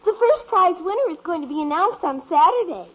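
High chirpy little daughter, earnest, lo-fi old radio. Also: female voice, expressive, fairly quick. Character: bright eager little girl, proud of her secret. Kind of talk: 1950s radio sitcom acting. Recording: dull old radio broadcast.

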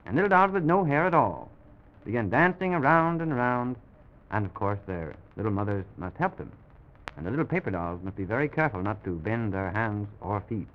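Quick-paced storytelling man on a muffled old recording. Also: on the low side, very animated. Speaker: storyteller and host of children's show. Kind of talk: narrating a children's make-believe story. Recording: dull, noisy vintage broadcast recording.

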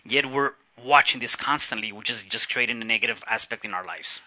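A man as an interview guest explaining his practice, halting and full of fillers and restarts. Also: on the low side, sharp tone.

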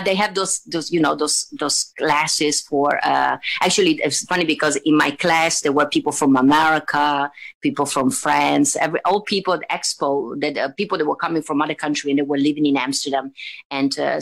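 A woman from Italy with an Italian accent, telling stories as an interview guest in a chatty, animated way with frequent restarts. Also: low voice, fairly quick, vocal fry. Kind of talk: casual conversational storytelling.

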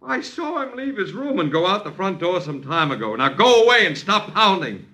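High, smug, mocking lodger. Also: male voice, sing-song, moderate pace, bright, forceful tone, heavily acted. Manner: mocking, affected, flowery phrasing. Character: smug, sarcastic, theatrical male lodger. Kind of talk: 1950s radio drama acting. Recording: old radio recording.

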